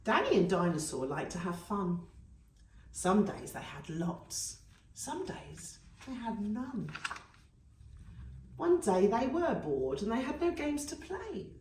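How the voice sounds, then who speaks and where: Slow, very animated woman reading to children. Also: mid-range voice, a little hiss or hum. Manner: animated, dramatic storytelling for young children. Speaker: nursery storyteller. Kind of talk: expressive read-aloud children's story. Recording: echoey room, distant mic.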